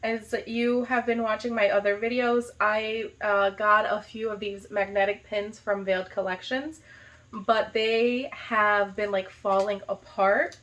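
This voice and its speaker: A young woman from the United States excitedly unboxing a gift box in a casual vlog, gushing and dropping lots of "like". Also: medium pitch, measured pace, dull audio. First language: not Arabic. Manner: gushing, enthusiastic, lots of "like" and "so cute". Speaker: vlogger, high school teacher.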